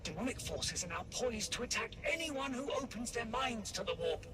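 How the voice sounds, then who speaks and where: Haughty attendant, distant and noisy. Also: male voice, animated, normal speed. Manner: formal, pompous. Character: haughty, anxious, protective male attendant of a psychic lady. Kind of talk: dramatic video game cutscene acting. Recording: distant voice over loud rumbling noise.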